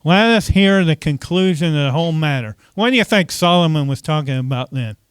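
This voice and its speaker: A man's voice: fairly high pitch, lively intonation, fairly quick, clear, resonant tone, dry recording. A man preaching a conversational sermon as pastor, often asking the congregation questions and saying "okay" between points.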